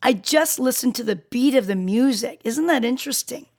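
A middle-aged woman with a raspy voice, hosting a radio interview in a chatty, conversational way. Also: on the high side, expressive, normal speed, a little mellow. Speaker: radio show host. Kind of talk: casual interview chat.

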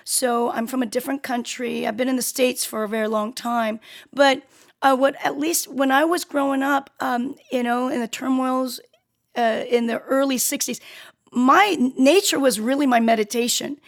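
A woman in her late fifties from South Korea, hosting a conversational interview in an earnest, wordy, halting way. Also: high-pitched, steady tone, creaky. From Seoul, South Korea. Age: late 50s. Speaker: show host interviewing a guest.

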